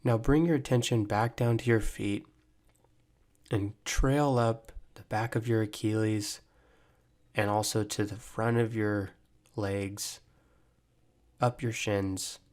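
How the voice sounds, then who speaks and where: Slow, low-voiced man guiding meditation. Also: steady tone. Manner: gentle, measured instructions with long pauses. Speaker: meditation guide, mental performance coach. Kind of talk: guided body scan meditation.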